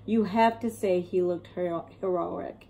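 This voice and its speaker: A woman reading a picture book aloud as an expressive storyteller, acting out the tale and explaining as she goes. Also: lowish voice, gravelly. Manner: acts out characters and pauses to explain words. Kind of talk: expressive children's read-aloud.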